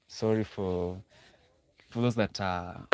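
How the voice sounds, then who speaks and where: A man's voice: low voice, steady tone, slow, background noise. A young Kenyan man hosting a casual livestream, often repeating words and restarting sentences as he talks to viewers. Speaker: livestream host.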